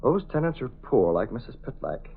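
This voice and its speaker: An actor playing a resolute masked vigilante in vintage radio drama, with a clipped, authoritative, measured delivery. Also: male voice, mid-range voice, sing-song, gravelly, husky, narrow, tinny audio, full character voice. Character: resolute masked male vigilante, cool commanding gentleman. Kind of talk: 1930s-40s radio drama acting. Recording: thin band-limited vintage radio recording.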